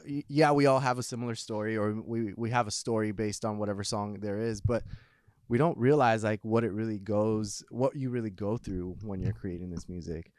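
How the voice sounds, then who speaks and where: A man's voice: fairly low pitch, brisk, darkish tone, no room sound, clean studio sound. A man interviewing a singer in casual chat, affirming and enthusiastic in his reactions.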